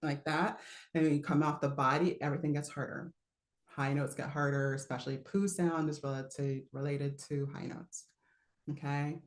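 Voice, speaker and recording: A woman with a low voice teaches a live online singing lesson in a relaxed, chatty way. She laughs often and stops to demonstrate sounds. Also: moderate pace, echoey room.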